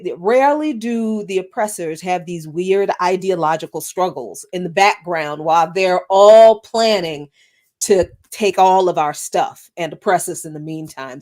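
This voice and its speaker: A woman hosting an interview show who talks in a lively, casual way, laughs easily, and speaks straight to her listeners. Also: medium pitch. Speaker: host.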